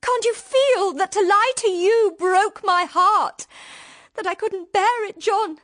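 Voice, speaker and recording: A woman's voice: very high voice, slow, a bit bright, exaggerated voice. An actress playing an ardent, devoted young British woman in love, in an intense, earnest radio drama style. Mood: desperate, pleading. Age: young adult.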